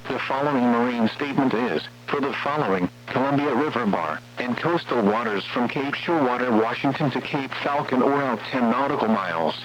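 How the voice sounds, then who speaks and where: An American man reads scripted warning bulletins in a formal, impersonal, synthetic-sounding voice of medium pitch. He sounds like an automated weather radio announcer. The broadcast is dull and lo-fi, with a faint layer of noise and hum. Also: expressive, fairly quick, hardly any pauses.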